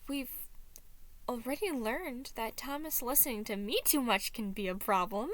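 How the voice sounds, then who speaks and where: A woman voicing a tender, gushing male figure who coos in a soft-hearted way. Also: a little high, moderate pace, voice pushed up, lightly acted. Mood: mature, gentle.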